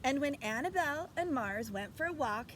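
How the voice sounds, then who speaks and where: A woman's voice: high-pitched, expressive, normal speed, fairly bright tone, slight background noise. A woman reading a storybook aloud expressively as a teacher, stopping to put instructive questions to young listeners.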